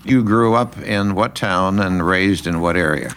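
A man with a very deep voice acts as the interviewer. He speaks conversationally, putting questions and short remarks to his guest as in an oral history. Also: unhurried, fairly bright tone, smooth.

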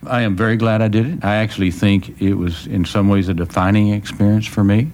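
A man with a very low voice and a Texan accent. He talks in a measured, plain-spoken way, looking back on his life as the guest in an interview. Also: fairly even intonation, darkish tone, a little hiss or hum. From small-town Texas, United States. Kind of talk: reflective personal recollection in an interview.

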